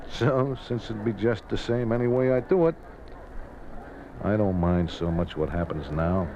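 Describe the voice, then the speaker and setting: An actor playing a sincere, resigned American racetrack bookie in love, with quaintly formal gambler's slang, in 1950s radio drama style. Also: male voice, fairly low pitch, steady tone, unhurried, warm tone. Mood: tender, resigned. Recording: dull, noisy old radio recording.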